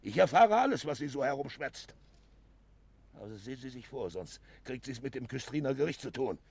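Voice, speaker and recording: An actor playing a loud, stern, threatening village man in a radio play, with a rough, gravelly voice. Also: medium pitch, sing-song, fairly quick, exaggerated voice. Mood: angry, threatening. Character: blustering, threatening village man warning about gossip. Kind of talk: German radio play acting.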